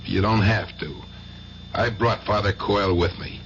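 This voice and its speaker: An actor in a radio drama playing a worried, streetwise older uncle, gruff and familiar. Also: male voice, fairly low pitch, unhurried. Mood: worried, coaxing. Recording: dull, very noisy old radio recording.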